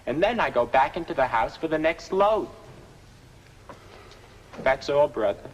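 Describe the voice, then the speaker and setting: Indignant, cocky truck driver. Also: male voice, a little high, animated, unhurried. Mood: aggrieved. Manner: colloquial, aggrieved, inverted phrasing. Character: indignant, cocky working-class truck driver, put-upon and argumentative. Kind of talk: 1950s film comic character acting. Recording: noisy, reverberant old film soundtrack.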